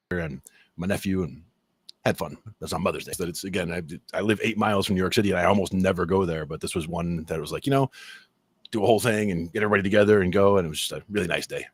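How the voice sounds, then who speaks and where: A man's voice: low-pitched, fast talker, breathy edge, dry recording. A man from New Jersey with a mild New Jersey accent, chatting casually as a podcast co-host, rambling and laughing often. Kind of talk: casual chat.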